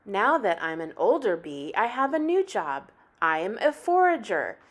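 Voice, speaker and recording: A woman reading a picture book aloud like a teacher, with animated, deliberate phrasing meant for young children. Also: medium pitch. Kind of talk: expressive children's story read-aloud.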